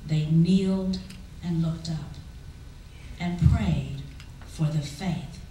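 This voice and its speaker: A woman giving a dramatic, expressive spoken-word poetry recitation, deliberate and full of drawn-out pauses. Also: sits low. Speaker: poetry reader at a church performance. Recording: echoey hall, distant mic, faint noise.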